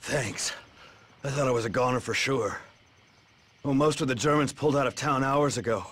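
An actor playing a plain-spoken, weary American glider pilot with a husky voice in a video game cutscene. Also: male voice, mid-range voice, normal speed, fairly bright tone, a little hiss or hum, lightly acted. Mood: relieved, practical.

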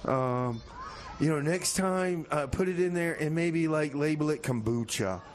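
A man's voice: fairly high pitch, measured pace, lightly acted. A man playing a harried, exasperated radio host in comedy radio theater, hesitant and easily flustered. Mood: casual. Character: harried, exasperated male radio DJ minding unruly kids.

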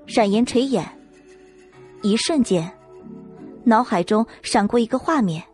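A woman's voice: on the high side, animated, measured pace, a little mellow, soft background music. A woman narrating a scripted novel as an audiobook reader.

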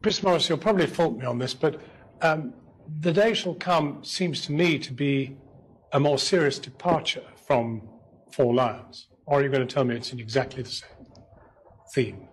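Husky male interviewer, mid-pitched. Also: moderate pace, low-quality recording. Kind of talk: broadcast interview questioning.

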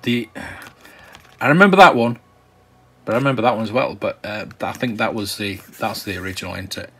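Low-voiced man rambling casually. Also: brisk, fairly bright tone, breathy edge. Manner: rambling and hesitant, with many ums and restarts; enthusiastic collector's remarks. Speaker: collector and channel host. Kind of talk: casual commentary while browsing a catalogue. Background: faint background music.

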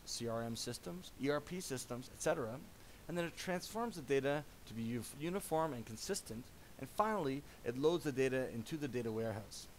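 A man narrating a software product demo as a voice-over, in a measured explanatory tone. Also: medium pitch, a little hiss or hum, low-quality recording.

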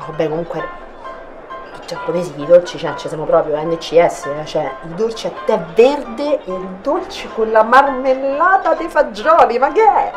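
A young woman with a Roman accent performing a comic sketch in an animated, slangy, theatrical way. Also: mid-range voice, moderate pace. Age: young adult. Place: small restaurant dining room, indoors. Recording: background music under the voice.

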